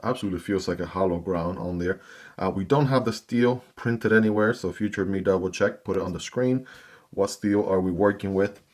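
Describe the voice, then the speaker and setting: Man with a deep voice, casual chatty product review, talks straight to viewers. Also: a little fast, darkish tone. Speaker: knife review channel host.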